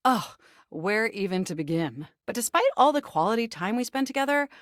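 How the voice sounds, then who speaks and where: A woman's voice: medium pitch, sing-song, brisk, no echo, clean studio sound, close to an everyday voice. Woman voicing a swoopy-voiced, prim, lovestruck computer. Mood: smitten, gushing. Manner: formal, wordy, precise, nerdy diction. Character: earnest, lovestruck, anxious talking desktop computer. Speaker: video game character voice actor. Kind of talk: scripted dating game dialogue.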